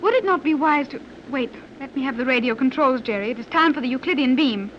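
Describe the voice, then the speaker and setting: A woman playing a calm, precise young daughter in a 1930s radio serial, speaking in formal, exact phrases. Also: high-pitched, quick pace. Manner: formal, stilted, exact phrasing without contractions. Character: calm, precise young daughter with advanced scientific know-how. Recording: muffled, hissy 1930s radio recording.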